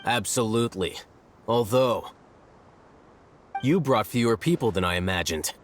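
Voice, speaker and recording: An actor playing a composed young scholar in a video game, measured, detached and analytical. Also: male voice, on the low side, slight background noise, lightly acted.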